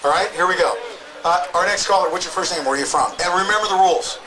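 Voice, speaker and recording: A man's voice: sits high, crowd noise, rough recording. A man hosting an outdoor rally, joking and calling up speakers one after another. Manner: upbeat, joking, fast-talking. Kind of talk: live rally emcee chat. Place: outdoor protest crowd.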